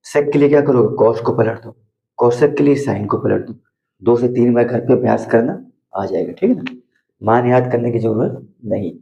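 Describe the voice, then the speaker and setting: Hoarse male math tutor with a gravelly, dark voice and a Hindi accent; drills steps, quizzes the class; dull lo-fi recording. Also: mid-range voice, expressive, moderate pace. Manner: repetitive, asks students questions and answers them himself. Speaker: math teacher. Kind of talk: board lesson for school students.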